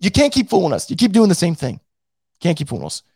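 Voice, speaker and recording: Hoarse, lively-pitched man ranting. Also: fast, no room sound, clean studio sound. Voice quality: blunt and profane, with many restarts. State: hoarse, losing his voice. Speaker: fan show host reading live chat. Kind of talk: casual post-game rant.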